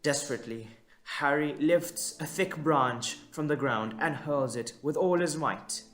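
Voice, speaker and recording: A man narrating a scripted audio drama in a measured, suspenseful manner, with deliberate dramatic pauses. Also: on the high side, a bit bright, soft background music.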